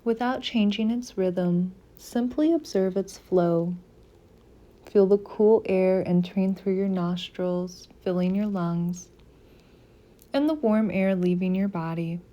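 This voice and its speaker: A woman guides a meditation in a soft, mellow voice. Her delivery is very slow and deliberate, leaving pauses between short instructions. The pitch sits in a middle range for a woman, and the tone stays gentle. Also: dull audio.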